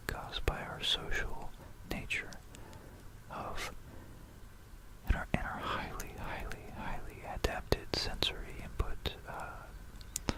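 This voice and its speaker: Slow, whispering ASMR storyteller. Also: low-quality recording. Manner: hushed, unhurried, repeating words and pausing mid-phrase. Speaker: ASMR presenter. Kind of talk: whispered ASMR history narration with commentary. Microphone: close stereo microphone for binaural ASMR.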